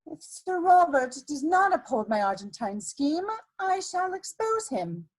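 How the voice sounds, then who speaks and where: A woman's voice: sits high, very animated, a little slow, low-quality recording. A woman playing a scheming, seductive society adventuress in a dramatic reading of a period comedy, with theatrical, coquettish emphasis. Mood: cool, menacing.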